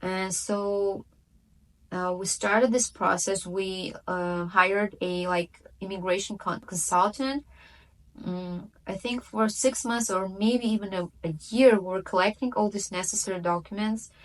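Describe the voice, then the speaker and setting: A woman's voice: medium pitch, steady tone, unhurried, a bit bright, poor audio. Chatty woman. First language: Russian. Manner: chatty, many fillers and self-corrections, light laughs. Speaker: vlogger. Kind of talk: casual personal storytelling to camera.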